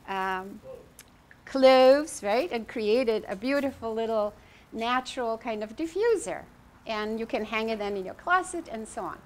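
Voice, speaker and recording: A woman from Croatia, in her fifties, gives a slow, meandering wellness talk. Her voice sits a little higher than most, and she pauses often with "uh" and "um". A faint hum of the room is heard behind her. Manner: warm, unhurried, many fillers and restarts, gesturing while speaking. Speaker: foundation president giving a lecture. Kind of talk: informal wellness lecture. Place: indoor pavilion room in a park.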